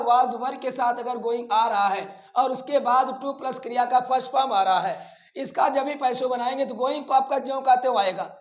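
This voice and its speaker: A man teaching a grammar lesson, deliberately repeating phrases and addressing his students directly in an echoey, roomy recording. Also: very high-pitched, a bit bright. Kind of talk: classroom-style grammar lesson.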